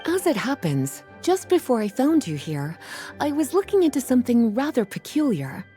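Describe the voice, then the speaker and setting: An actress playing a playful, knowing librarian mage in a video game, speaking softly in a leisurely, storytelling way. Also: female voice, fairly high pitch, big pitch swings, normal speed, faint music behind. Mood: musing, intrigued. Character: teasing, unhurried adult woman librarian mage, playful and knowing.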